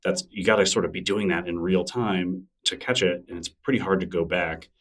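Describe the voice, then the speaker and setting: A man with a very low voice talks in an easy, enthusiastic way during an interview, often breaking into laughter. He sits a little back from the microphone. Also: fairly even intonation, brisk, distant mic. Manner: chatty, enthusiastic, laughs easily. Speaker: podcast guest, newsletter creator. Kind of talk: casual interview conversation.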